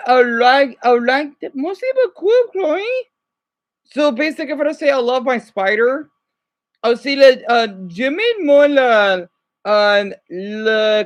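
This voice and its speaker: A man's voice: extremely high pitch, a bit bright. A man making a casual reaction vlog who chats along and stumbles over foreign words. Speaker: vlogger reacting to a video.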